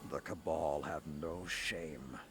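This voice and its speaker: An actor playing a hawkish, battle-hardened military leader in a video game, grave, formal and forceful. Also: male voice, very deep voice, slow, soft background music, heavily acted. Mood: grim contempt. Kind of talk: video game dramatic voice acting.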